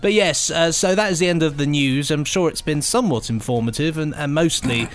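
A man's voice: fairly high pitch, a little fast, a little hiss or hum. A British man co-hosting a podcast in casual, rambling banter, chatty, jokey and self-mocking. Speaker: podcast co-host.

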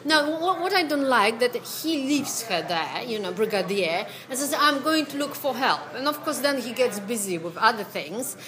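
A woman's voice: fairly high pitch, moderate pace, hardly any pauses. Polish woman chatting in a noisy pub. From Poland. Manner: animated, laughs often, acts out quotes. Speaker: guest actress. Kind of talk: casual pub interview. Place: busy pub. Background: crowd chatter.

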